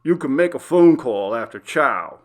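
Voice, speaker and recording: A man's voice: mid-range voice, sing-song, normal speed, natural voice. A man voicing a cold, mocking prison guard with a curt, dismissive manner in a story reading. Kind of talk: audiobook character acting.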